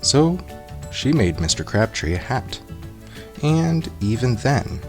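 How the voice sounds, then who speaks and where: A man reading a children's picture book aloud in an expressive storytelling style, acting out the characters. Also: medium pitch, takes it slowly, faint music behind. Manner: expressive, acts out character voices.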